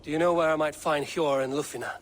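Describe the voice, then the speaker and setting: An actor playing a blunt, steady male warrior in a video game, terse and deliberate. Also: a little high, natural voice. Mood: purposeful. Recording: noisy outdoor game ambience.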